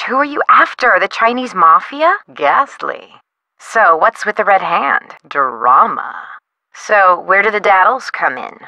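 A woman's voice: medium pitch, sharp tone, no echo, highs cut off, full character voice. An American woman voicing a young artist in a video game, flippant and theatrical, with breezy slang. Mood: flippant, dramatic. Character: flippant, dramatic young bohemian female artist. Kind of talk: video game character dialogue.

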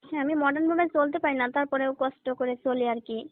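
Girl acting poor young college student in a call drama, reluctant and polite, quick talker, dry close sound. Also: sits high, steady tone, no room sound, narrow, tinny audio, close to an everyday voice. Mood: hesitant. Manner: deferential, explanatory, reluctant. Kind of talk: staged phone-call drama.

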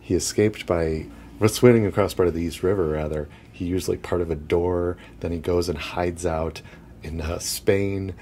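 A man's voice: low voice, fairly even intonation, moderate pace, mellow, slight background noise. A young man guiding a walking tour outdoors on city streets, chatty and casual, often laughing between points. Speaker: tour guide.

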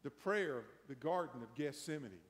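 A middle-aged man preaching as pastor, building emphasis through a slow sermon before a hushed prayer in a large church. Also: medium pitch, animated. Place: large church sanctuary, empty pews. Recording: long church hall reverb.

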